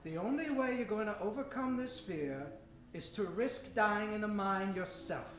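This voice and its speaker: A man's voice: high voice, expressive, moderate pace, slight background noise. A man playing a pompous professor adventurer with theatrical, portentous delivery in an old-time radio serial. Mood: lecturing. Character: pompous hard-drinking professor adventurer, mentor to a boy. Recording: very echoey stage, clear music behind.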